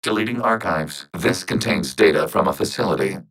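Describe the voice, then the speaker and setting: A low male robot voice from a video game. It speaks for a machine collective with measured, formal precision. The delivery is calm, logical and emotionless, with a synthetic, processed edge. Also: rough recording. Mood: flat, analytical. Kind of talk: scripted video game character acting.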